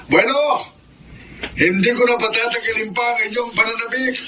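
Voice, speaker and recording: A grand Filipino TV contest host in a radio drama, pitched high for a man. He draws out the suspense like an announcer over clearly audible noise. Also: fairly even intonation, normal speed, sharp tone, exaggerated voice, rough recording. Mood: grand, suspenseful.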